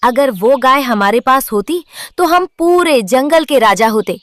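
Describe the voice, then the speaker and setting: A woman's voice: sits high, expressive, unhurried, fairly bright tone, no room sound, clean studio sound, voice pushed up. A woman voicing a scheming, greedy, bossy wife bird with a commanding, scolding manner.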